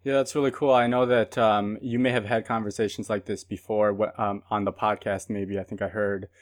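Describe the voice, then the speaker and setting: Low-voiced male podcast host. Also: level tone. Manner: measured, hesitant with ums. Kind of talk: casual podcast interview.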